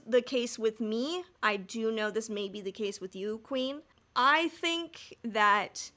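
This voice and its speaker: Slow-paced woman chatting on a podcast. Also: mid-range voice, fairly bright tone. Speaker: podcast co-host. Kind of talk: casual podcast chat.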